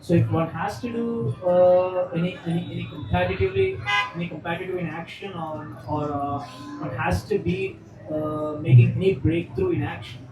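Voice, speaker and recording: The sound is echoey and far from the mic, with clear music behind. A man with a highish voice asks a question from the audience, haltingly, rephrasing as he goes. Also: lively intonation. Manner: halting, repeats and rephrases phrases. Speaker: audience member asking a question. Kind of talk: spontaneous question at a live talk.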